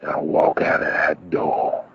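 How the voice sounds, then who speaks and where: A man voicing a swaggering, happy old blues singer with a gruff, throaty voice and a boastful, repetitive drawl. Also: rumbling low, completely flat delivery, slow pace, fairly bright tone, no room sound, in a whisper, heavily acted. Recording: dull lo-fi sound, music behind.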